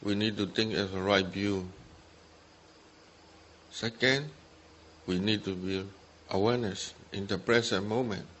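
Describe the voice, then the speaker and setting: A man's voice: fairly low pitch, steady tone, a little slow, pauses often, a bit bright, slight background noise. A man who speaks English as a second language, giving guided meditation instruction as a Buddhist teacher in short simple phrases with gaps between them. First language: not English. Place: meditation retreat talk.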